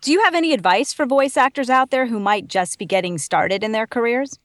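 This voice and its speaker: A woman's voice: fairly high pitch, fairly quick, no room sound, studio quality. A woman interviewing a voice actor, asking questions in a friendly, easily amused way.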